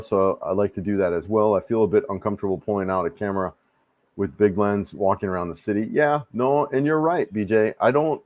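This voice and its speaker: Laid-back man in his fifties, low voice. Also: darkish tone, no room sound, highs cut off. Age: 50s. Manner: rambling, restarts often, laid-back and friendly. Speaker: livestream host answering chat. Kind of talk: casual live chat. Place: home room.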